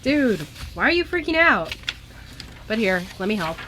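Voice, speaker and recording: Woman as playful young male ghost, lively pitch. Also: slight background noise. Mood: exasperated. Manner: hesitant, halting, casual. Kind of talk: amateur online radio drama reading.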